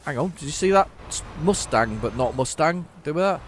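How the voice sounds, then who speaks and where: A man's voice: on the high side, lively intonation, normal speed, a little hiss or hum. A man giving casual, rambling gameplay commentary, often correcting himself. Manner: rambling, self-correcting, chatty. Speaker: gaming channel host.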